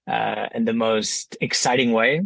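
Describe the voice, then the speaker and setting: A man in his thirties with a slight French accent, a native French speaker, explaining things in a measured interview answer with some fillers. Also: medium pitch, steady tone, creaky, a bit bright, no echo. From France. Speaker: podcast guest.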